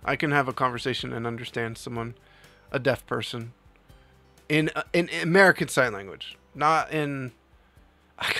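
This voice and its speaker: A man's voice: medium pitch, a bit bright. Chatty male streamer, faint music behind. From New Jersey. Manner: rambling, laughs often, reads chat aloud. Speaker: livestream host. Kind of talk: casual chat with live chat.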